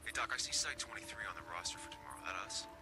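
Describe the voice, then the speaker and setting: A man's voice: fairly low pitch, expressive, rapid-fire, natural voice. A man acting a wary, terse corporal who survived disaster, in a video game cutscene. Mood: casual. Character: wary young adult male corporal, shaken survivor. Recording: loud music over the voice.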